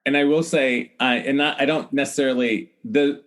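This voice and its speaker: A man speaking as a podcast guest in a casual, earnest, explanatory way, often halting to correct himself. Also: medium pitch, a bit bright. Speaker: podcast guest, celebrity hair stylist. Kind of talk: casual conversational interview.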